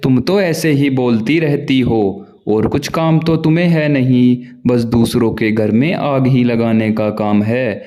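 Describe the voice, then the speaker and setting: A man's voice: medium pitch, measured pace, hardly any pauses, warm tone, close to an everyday voice. A man doing a grumbling crow husband. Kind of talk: cartoon voice acting.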